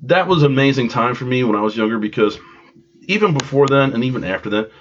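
A man's voice: medium pitch, animated, fast talker, a little hiss or hum. A congested man with a cold hosting a podcast, telling a rambling, self-deprecating story with side tangents. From Long Island, New York. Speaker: podcast host. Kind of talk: casual storytelling monologue. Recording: dull lo-fi home recording.

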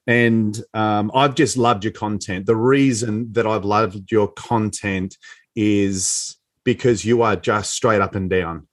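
A man's voice: fairly low pitch, slow pace. A man hosting an interview podcast, introducing his guest with enthusiasm and talking bluntly, with a bit of casual swearing. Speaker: podcast host.

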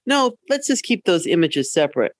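A woman's voice: lowish voice, sing-song, moderate pace, no room sound. A middle-aged woman talks casually as a podcast co-host. She is blunt and wry, offering opinions and little stories. The sound is dry and close. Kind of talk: casual conversational storytelling.

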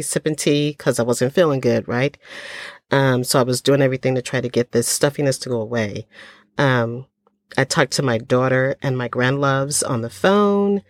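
Chatty older woman host, low voice. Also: no room sound, clean studio sound. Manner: chatty, folksy, lots of ums. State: stuffy from a cold. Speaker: podcast host. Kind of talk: casual solo podcast chat.

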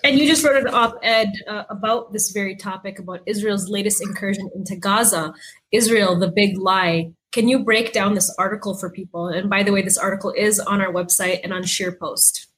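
A woman's voice: mid-range voice, steady tone, moderate pace, fairly bright tone, poor audio. Lady host asking interview questions, bit of background noise.

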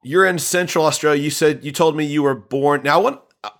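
A man's voice: fairly high pitch, a little fast, a bit bright. A man hosting a casual music chat, rambling and restarting his sentences. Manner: rambling, chatty, restarts a lot. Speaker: podcast host.

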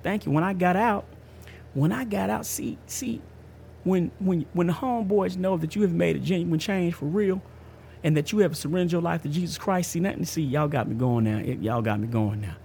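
A man's voice: on the high side, brisk, creaky, a little mellow, a little hiss or hum. A man in his fifties hosting a podcast, giving a casual personal testimony in an emphatic, slangy way, often repeating himself. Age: 50s. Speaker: podcast host.

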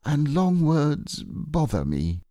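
A man's voice: on the high side, huge pitch swings, slow pace, warm tone, no room sound, studio quality, pitched above the performer's own voice, heavily acted. A man voicing a humble, dreamy storybook bear of very little brain, hesitant and wistful. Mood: humble, puzzled. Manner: hesitant, wistful, simple-minded. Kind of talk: storybook character reading.

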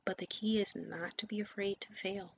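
A woman's voice: mid-range voice, steady tone, moderate pace, vocal fry, breathy edge. A woman podcast host and coach giving an earnest, encouraging motivational talk, often dropping her voice to a near whisper. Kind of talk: motivational monologue. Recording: dull lo-fi sound with faint ambient noise.

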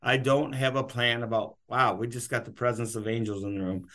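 A man's voice: mid-range voice, brisk, a bit bright. A man hosting a podcast interview, talking in a loose, rambling, unscripted way. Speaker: podcast host. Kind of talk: casual interview chat.

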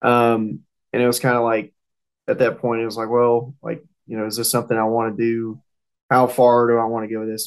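A man's voice: on the low side, gravelly, low-quality recording. A young man with a Southern US accent, talking casually and hesitantly as a guest in an interview. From southern United States. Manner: rambling, hesitant, informal. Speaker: race car driver and interview guest. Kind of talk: casual interview talk, recounting career.

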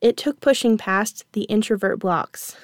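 A woman in her thirties hosting her own podcast, reading a reflective monologue in a gentle, earnest, measured way. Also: mid-range voice, no echo, studio quality. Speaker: podcast host.

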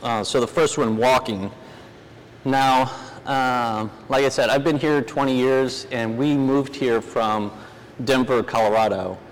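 Man, American, middle-aged, informal church teaching, halting conversational delivery, mid-pitched voice, long hall reverb, faint noise. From New Orleans, United States. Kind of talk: informal sermon with scripture reading. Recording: reverberant hall, noisy room ambience.

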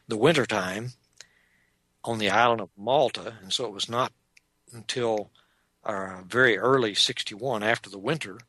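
A man with a Texan accent giving an unscripted lecture on church history, measured and explanatory, often pausing on "uh". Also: on the low side, sharp tone, dry recording. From Texas. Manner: measured and explanatory, with frequent "uh" pauses. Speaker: Bible teacher.

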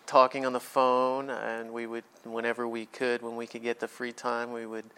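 A man from New Jersey in his thirties, answering interview questions in an easygoing, measured way. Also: medium pitch, completely flat delivery, sharp tone, smooth, low-quality recording. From New Jersey, USA. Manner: easygoing, measured, some hesitations. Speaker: guest.

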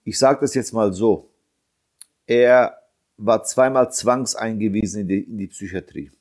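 A man's voice: fairly low pitch, a little slow, a little mellow. A man in his fifties from Germany, giving an impassioned interview as a bereaved father, indignant and emphatic, repeating his points and firing off rhetorical questions.

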